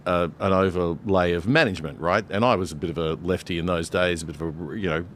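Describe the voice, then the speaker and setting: A man's voice: sits low, quick pace, fairly bright tone, a little hiss or hum. A middle-aged Australian man, a broadcaster on a discussion panel, talking emphatically with many fillers and restarts.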